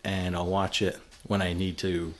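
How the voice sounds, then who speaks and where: A man hosting a movie podcast, giving his views in a relaxed, thinking-aloud way. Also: low voice, measured pace. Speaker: podcast host. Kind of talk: casual film discussion.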